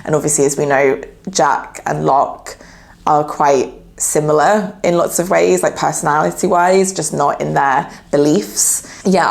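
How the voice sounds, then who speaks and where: A woman's voice: low voice, creaky. A young adult woman, a therapist, chatting casually to camera, a little nasal from a cold, with fillers like "yeah" and "like.". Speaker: therapist YouTuber.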